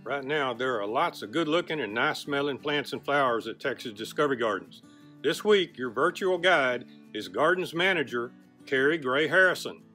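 A man's voice: mid-range voice, expressive, normal speed, sharp tone, faint music behind. An older man hosting a garden show outdoors, joking with viewers in a teasing, theatrical way, recorded on a lapel mic. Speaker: host. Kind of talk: playful jokey garden show intro.

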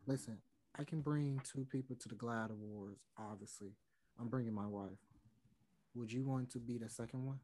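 A man's voice: mid-range voice, moderate pace, mellow, natural voice. A young man acting an earnest, remorseful former lover. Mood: sincere. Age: 20s. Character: remorseful, earnest man longing for his first love. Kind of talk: read-through of a one-act play over video call. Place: room at home. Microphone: computer mic on a video call.

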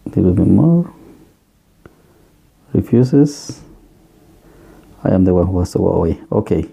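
Gravelly, dark-voiced man explaining workshop gear. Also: low voice, animated. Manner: halting, plain advice with many fillers and restarts. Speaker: electronics workshop host. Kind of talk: casual hands-on equipment walkthrough. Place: electronics workbench room, indoors.

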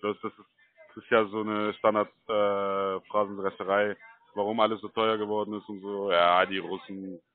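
A man's voice: on the low side, level tone, moderate pace, no echo. Easygoing man on a thin phone line. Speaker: call-centre caller. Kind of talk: casual phone chat.

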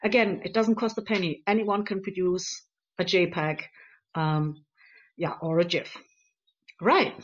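A woman's voice: on the low side, fairly even intonation, measured pace, pauses often. Earnest woman presenting slides on a video call. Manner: many ums and uhs, earnest and encouraging. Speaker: conference speaker on activism. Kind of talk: presenting slides in a webinar talk. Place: home room on a video call. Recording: slightly dull lo-fi video call audio.

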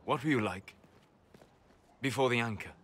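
A man playing a calm, reserved, scholarly elf mage in a video game, speaking formally in deliberate, measured phrases. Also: mid-range voice, slight background noise, lightly acted. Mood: earnest, thoughtful. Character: calm, scholarly, reserved elven mage, admiring yet condescending. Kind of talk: video game dialogue acting.

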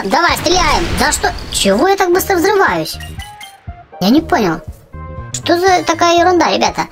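A woman hosting a gaming channel for children, giving chatty, excitable running commentary on her play. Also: a little high. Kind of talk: casual game commentary for children. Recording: game music behind the voice. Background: video game music.

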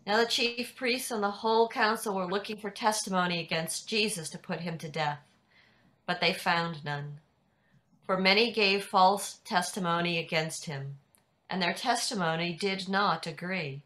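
An older woman reading scripture narration in a measured, deliberate way over a video call. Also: on the low side, a bit bright. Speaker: narrator in a church dramatic reading. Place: indoors at home on a video call. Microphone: video call computer microphone.

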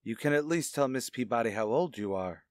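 A man's voice: medium pitch, lively intonation, no echo, studio quality, lightly acted. Man playing a formal, brooding widowed father. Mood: insistent, stern. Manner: formal, measured, deliberate. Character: stern, brooding widowed father with a morbid past. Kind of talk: old-time radio drama acting.

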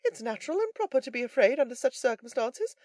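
Man doing a high, courteous, philosophical fellow. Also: very animated, fast talker, a little mellow, no room sound. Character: polite, philosophical man admitting fear, peacemaker.

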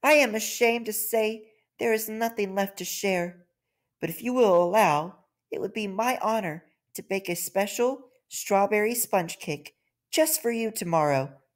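Man voicing a tiny, humble lady ant, raised pitch. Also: a little slow. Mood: humble, apologetic. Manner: polite, apologetic. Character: tiny, humble, earnest female ant in a storybook.

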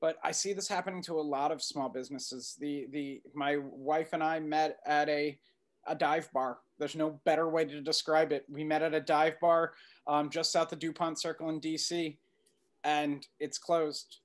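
A middle-aged man answering interview questions on a video call in a thoughtful, halting, conversational way. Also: on the high side, steady tone. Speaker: documentary filmmaker being interviewed. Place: indoor room on a video call. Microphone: computer mic on video call.

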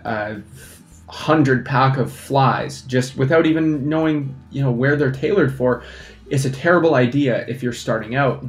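A young man, a fly fishing instructor, giving energetic casual advice to camera at a desk microphone, often checking in with "right?". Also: mid-range voice, echoey room. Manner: energetic, animated hand gestures, lots of "right?". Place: small indoor studio against a plain backdrop. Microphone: desk microphone in front.

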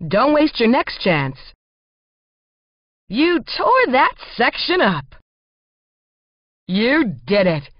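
A cheeky arcade racing game announcer, female and high-voiced, stretching out punchy calls at a very slow pace. The sound is dry and slightly dull, like lo-fi console audio from the nineties. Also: big pitch swings, dry recording, full character voice. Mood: excited, encouraging. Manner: punchy, emphatic short calls. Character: spirited, cheeky female race announcer egging the driver on. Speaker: race announcer in a video game.

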